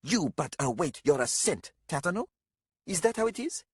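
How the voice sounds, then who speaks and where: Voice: mid-range voice, sing-song, normal speed, husky, no room sound, clean studio sound, pitched above the performer's own voice. A man voicing a proud, touchy young male warrior leader who declaims in indignant, archaic speech in a story.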